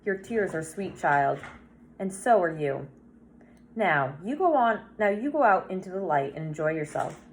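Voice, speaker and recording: A woman voicing a kindly, sorrowful old woman in a children's storybook, soft-spoken and gentle, on a noisy recording. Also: on the low side, expressive, a little slow. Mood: tender, sad. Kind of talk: children's novel read-aloud.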